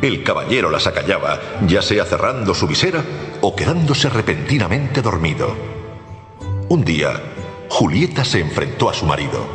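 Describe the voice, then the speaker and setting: A man narrating a storybook tale for radio in an expressive, theatrical way. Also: deep voice, normal speed. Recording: echoey long reverb, music behind.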